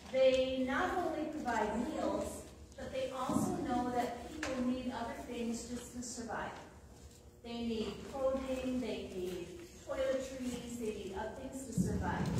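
Middle-aged woman, higher-pitched voice, earnest and chatty, heard from far off in a very echoey church. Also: noisy background. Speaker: church member making an announcement. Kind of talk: spoken church announcement. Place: large church sanctuary. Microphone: unmiked, picked up from far off.

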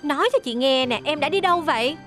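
A woman's voice: sits high, expressive, quick pace. A woman voicing a kind, encouraging big-sister character in a children's cartoon. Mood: concerned. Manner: caring, encouraging. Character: kind, caring young woman, big-sister mechanic to buses. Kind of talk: dubbed children's cartoon acting. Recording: loud music behind the voice.

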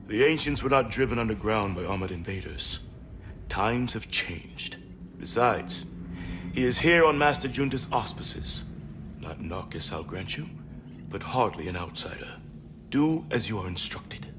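A man's voice: fairly low pitch, animated, measured pace. A man playing a stern, pragmatic senior monk in a video game, solemn and commanding with formal speech. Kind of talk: video game character dialogue. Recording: noisy room ambience.

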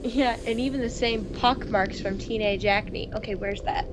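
A woman's voice: on the high side, steady tone, normal speed, background noise. A playful, giggly woman hosting a casual gaming playthrough, chatting and reading story text aloud. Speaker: gaming video host. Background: music.

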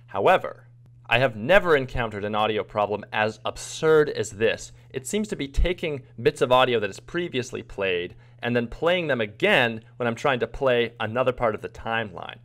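A man's voice: medium pitch, fairly bright tone. A man casually explaining what is on screen as a video editor narrating a screen recording.

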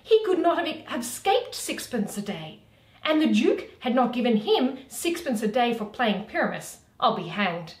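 A woman as doleful young Shakespearean workman, animated. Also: a little high, unhurried. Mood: earnest, doleful. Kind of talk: Shakespeare read aloud as solo performance. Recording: roomy home recording.

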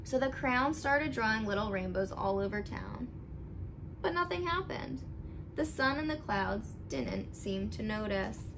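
A young woman reading a picture book aloud expressively, with animated, deliberate emphasis for young listeners. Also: fairly high pitch. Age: young adult. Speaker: storybook reader. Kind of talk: expressive children's read-aloud. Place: living room at home. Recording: clearly noisy home recording.

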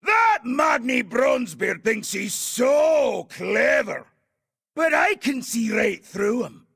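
A man voicing a gruff, fiery dwarf for a video game, with a raspy voice, a Scottish accent and a hearty, blustering delivery. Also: very high voice, sing-song, takes it slowly, a bit bright, raised voice, full character voice. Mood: boisterous joking. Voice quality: gravelly, raspy. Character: gruff, fiery, boisterous male Dark Iron dwarf. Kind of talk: video game character voice lines.

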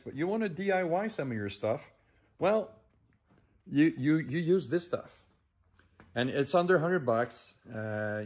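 A middle-pitched man in his fifties hosts a do-it-yourself shop vlog. He talks casually and loosely, restarting phrases and filling gaps with "uh". He is recorded on a phone camera held at arm's length in an indoor garage workshop. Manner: chatty, rambling, with many restarts and "uh" fillers. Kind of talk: casual how-to talk.

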